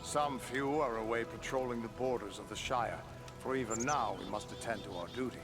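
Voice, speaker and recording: An actor playing a dutiful, sober ranger leader in a fantasy game, speaking formally and deliberately. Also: male voice, mid-range voice, music in the background, natural voice. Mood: somber, resolute.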